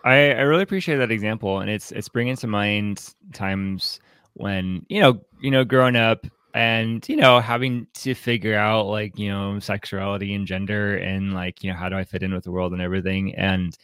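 Casual male podcast co-host, lower-pitched, thinking aloud with frequent restarts, very dry sound. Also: steady tone, normal speed, fairly bright tone, clear, resonant tone, dry recording. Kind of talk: casual book discussion.

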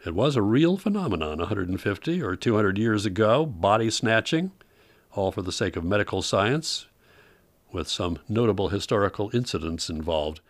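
Low-voiced male radio host, dry studio sound. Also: big pitch swings, normal speed, no room sound. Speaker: host of old-time radio program. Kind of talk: relaxed scripted narration.

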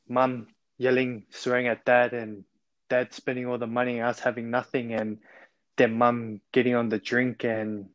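A man's voice: mid-range voice, no room sound, lo-fi sound. A man in his twenties with an Australian accent, a podcast guest on a remote call, telling his story earnestly with many ums and restarts. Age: 20s.

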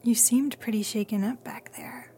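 A woman playing a caring, soft-spoken and hesitant young companion in a radio drama. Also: fairly high pitch, fairly even intonation, normal speed, a little hiss or hum, close to an everyday voice. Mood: gentle concern.

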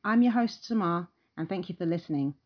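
A woman with a notably low voice hosts an interview podcast. She speaks as presenter and questioner. The recording is dry and somewhat muffled, with little high-end detail. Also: animated, a little fast, dry recording. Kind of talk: podcast introduction and conversational interview.

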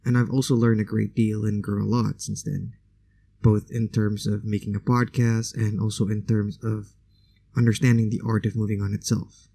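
Man, podcast host, Indonesian first language, gravelly, dry close mic, opinionated chat. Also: fairly low pitch, little pitch movement, moderate pace, mellow, no echo. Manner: conversational, opinionated, casual asides. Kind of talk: solo podcast monologue.

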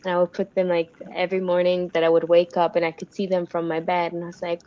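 Swiss-born woman, Swiss German native; upbeat, rambling interview guest; slightly low voice; dull lo-fi recording. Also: completely flat delivery, fast talker, mellow. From Switzerland. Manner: upbeat, rambling with many fillers. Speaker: interview guest, pro wrestler. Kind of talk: casual interview answers.

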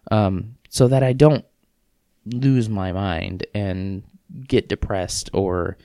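Low, gravelly man musing on a podcast. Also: steady tone, dark tone, no echo, studio quality. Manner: rambling, self-deprecating, halting restarts. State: sniffling. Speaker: podcast host. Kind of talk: casual solo podcast monologue.

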